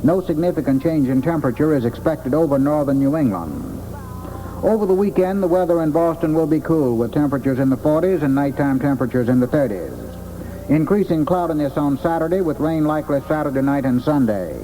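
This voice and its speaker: A man's voice: fairly high pitch, lively intonation, a little fast, dark tone, low-quality recording. An American man reading a scripted weather forecast for an old radio broadcast. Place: airport weather office. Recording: old radio relay with faint noise.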